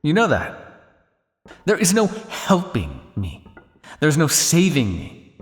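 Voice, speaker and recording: A man's voice: fairly high pitch, wildly swooping pitch, slow pace, long reverb tail, faint music behind, heavily acted. A man playing a cynical, world-weary vampire scientist on the run in an intimate, condescending ASMR roleplay monologue. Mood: bitter, exasperated. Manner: sardonic, condescending, with exasperated sighs and dry asides.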